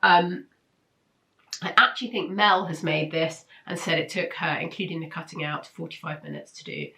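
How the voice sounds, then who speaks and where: A woman's voice: low-pitched, moderate pace, sharp tone. A British woman hosting a casual review vlog, chatty and enthusiastic, with a nasal, congested voice from a blocked nose and flu. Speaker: host of a weekly sewing pattern roundup. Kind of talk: casual chat review to camera.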